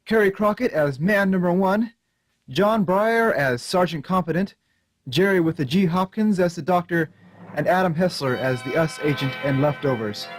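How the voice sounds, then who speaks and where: A man announces in a scripted radio credits style. His voice is a little higher than average, and music plays softly behind him. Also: low-quality recording.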